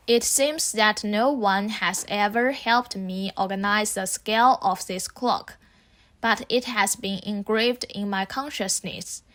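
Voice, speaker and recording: A young woman in her twenties, originally from southeast China, reads a scripted narration for a documentary. Her delivery is calm and reflective, steady and measured. Her voice sits at a medium pitch. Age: 20s. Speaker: narrator of a student film.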